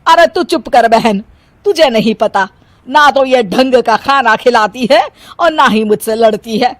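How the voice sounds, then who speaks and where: A woman playing a middle-aged, talkative Punjabi mother-in-law who scolds and complains theatrically in an animated story. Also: on the high side, unhurried, no room sound, close to an everyday voice. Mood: nagging. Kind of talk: animated Hindi story dialogue.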